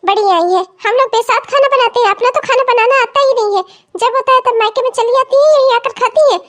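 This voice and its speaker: Woman acting a squeaky, sharp-tongued daughter-in-law. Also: fast. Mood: defiant, scornful. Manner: sarcastic, defiant. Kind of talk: Hindi family melodrama story acting.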